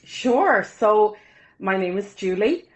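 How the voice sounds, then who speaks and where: A woman in her forties chats with an upbeat, lively manner. She tells stories with self-deprecating humour as a podcast guest, at a medium pitch. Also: takes it slowly. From Ireland. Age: 40s. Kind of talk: casual interview storytelling.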